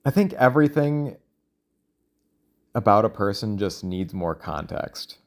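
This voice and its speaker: A man speaking in a casual, rambling monologue, thinking out loud in a halting, self-questioning way. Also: lowish voice, animated. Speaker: solo audio log creator.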